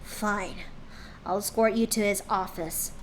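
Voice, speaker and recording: A woman's voice: mid-range voice, steady tone, unhurried, slight background noise, natural voice, rough recording. A woman voicing a hostile, bitter female pegasus nurse who talks in a spiteful, growling way. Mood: curt.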